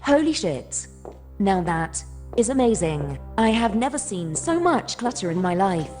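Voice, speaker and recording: A woman's voice: mid-range voice, very animated, measured pace, darkish tone. A woman playing a bossy, flirtatious gun-toting survivor in an audio drama, brash and teasing. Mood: amazed, pleased. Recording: music under the voice.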